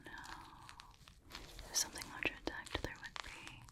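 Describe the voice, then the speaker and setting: A woman performing an ASMR roleplay as a calm researcher, whispering clinical observations in a studious murmur and repeating words, close to the microphone. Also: normal speed. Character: calm female researcher examining an abyssal creature.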